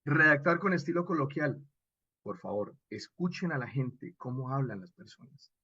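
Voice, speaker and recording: A man with a Colombian accent and a husky voice, lecturing expressively as a radio drama instructor and checking in with short rhetorical questions. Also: mid-range voice, lively intonation, normal speed, sharp tone, dry recording, highs cut off. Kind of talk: animated workshop lecture with acted examples.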